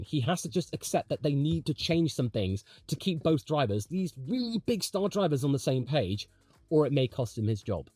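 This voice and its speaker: Male sports analyst, lively swooping intonation, slightly high pitch, dry close sound, conversational and opinionated. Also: no room sound. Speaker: video commentator. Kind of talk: opinionated motorsport analysis commentary.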